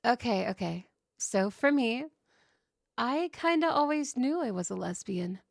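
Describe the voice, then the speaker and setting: A woman acting an outgoing, cheerful young woman with a creaky edge to her voice. She chats and tells stories playfully in an audio roleplay, in a very dry recording. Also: fairly high pitch, animated, a little slow, no room sound. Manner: chatty, playful storytelling. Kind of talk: scripted ASMR roleplay acting.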